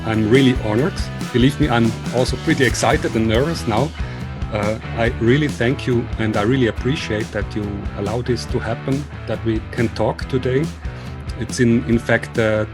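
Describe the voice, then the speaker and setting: Swiss guy doing an interview, polite n earnest, halting english, mid voice, faint music behind. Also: medium pitch. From Switzerland. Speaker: interviewer and video series host. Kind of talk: informal remote interview.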